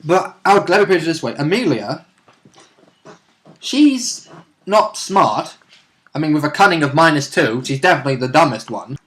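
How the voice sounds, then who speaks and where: A man with a somewhat higher-pitched voice talks casually as a role-playing player. He explains details in an informal, corrective way. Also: very animated, normal speed, long pauses, a bit bright. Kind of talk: casual chat.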